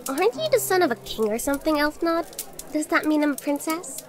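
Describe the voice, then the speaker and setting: Casting: woman as young fantasy adventurer, very high voice, curious, teasing, faint music behind. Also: expressive, a little fast. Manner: inquisitive, playful. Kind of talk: visual novel character acting.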